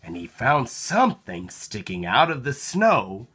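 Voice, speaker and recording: A person reading a children's picture book aloud in an expressive, dramatic storytelling manner. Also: medium pitch, husky. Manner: animated, slow, dramatic delivery with acted sound words. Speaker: storybook reader.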